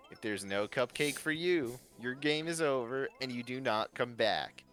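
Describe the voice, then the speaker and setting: A man's voice: mid-range voice, normal speed, a bit bright, pitched above the performer's own voice, natural voice. A man acting out a brash male game-show host in an emphatic, theatrical manner for a fan-fiction reading. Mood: smug, announcing.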